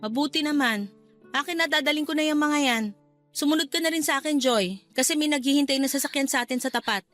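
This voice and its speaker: A woman's voice: sits high, quick pace, fairly bright tone, no room sound, soft background music. A woman playing a brusque, impatient aunt in a radio drama, with a curt, bossy manner. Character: brusque, impatient aunt come to collect a child. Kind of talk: Tagalog radio drama acting.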